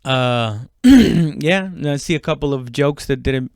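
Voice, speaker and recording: A man chatting casually and haltingly as a comedian on his own podcast, swearing freely. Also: medium pitch, animated, a little mellow, no room sound, studio quality. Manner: informal, halting, heavy swearing. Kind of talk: casual rambling solo chat.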